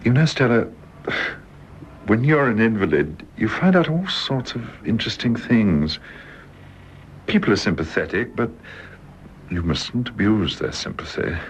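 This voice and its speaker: A British actor in a radio drama playing a bedridden husband, devoted, wistful and resigned, speaking earnestly and haltingly. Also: male voice, fairly low pitch, big pitch swings. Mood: reflective. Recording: dull lo-fi radio drama with hiss.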